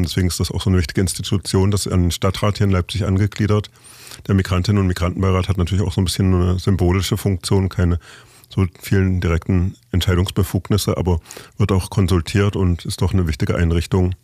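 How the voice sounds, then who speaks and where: A man's voice: sits low, level tone, fast, a little mellow, dry recording, studio quality. A man presenting and interviewing on the radio in an informal style, with loose, conversational phrasing.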